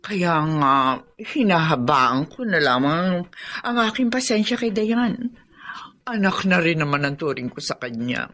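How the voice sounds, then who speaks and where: A woman's voice: deep voice, big pitch swings, unhurried, fairly bright tone, full character voice. An actress playing a domineering, scheming middle-aged stepmother with a Filipino accent in a radio drama, imperious and falsely sweet. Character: scheming, domineering villainous stepmother and lady of the house.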